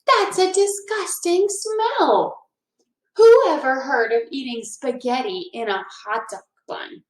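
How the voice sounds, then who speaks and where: Mean little schoolboy, played by a woman, high, mocking and taunting, for a storybook, echoey room. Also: expressive, slow. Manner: mocking, exclaiming. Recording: roomy, echoing indoor sound.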